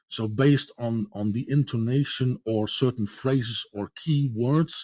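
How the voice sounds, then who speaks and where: A Dutch-accented man walks listeners through software features in a webinar, pausing often on fillers and restarting his phrases. His voice sits on the low side. The sound is dry and dull, like lo-fi conference audio. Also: dry recording. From Netherlands. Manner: halting, many fillers and repeated words. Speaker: product presenter. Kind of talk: webinar product presentation.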